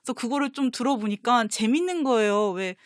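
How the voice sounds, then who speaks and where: High-voiced woman in casual fan chat. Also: animated, brisk, no echo, clean studio sound. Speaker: talk show guest, comics publisher designer and voice-drama fan.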